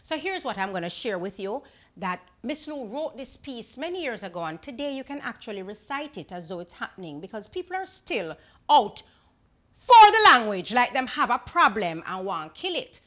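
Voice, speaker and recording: Jamaican lady hosting a culture show, really animated, theatrical, high voice. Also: moderate pace. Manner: exuberant, theatrical, sing-song emphasis. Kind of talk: TV presenting to audience.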